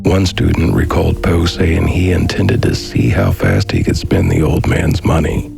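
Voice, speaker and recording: A man reading scripted documentary narration in a measured, formal manner. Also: rumbling low, big pitch swings, gravelly. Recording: faint music behind the voice. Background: faint background music.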